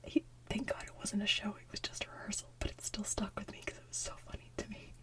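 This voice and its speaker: A woman's voice: mid-range voice, fast, distant mic. A woman hosting ASMR in a casual whisper, with giggly, chatty asides. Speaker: ASMR channel host and singer.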